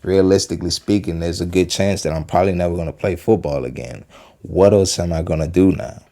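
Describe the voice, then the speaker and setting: Low, mellow-voiced young man talking casually. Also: brisk. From Western Pennsylvania. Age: 20s. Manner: rambling, repeats himself, many fillers. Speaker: video host reacting to a clip. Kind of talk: casual motivational talk.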